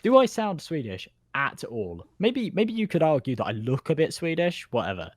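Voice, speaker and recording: A man's voice: a little high, very animated, moderate pace, no echo. A man with a British accent giving casual, chatty live color commentary on an esports match. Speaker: esports analyst caster.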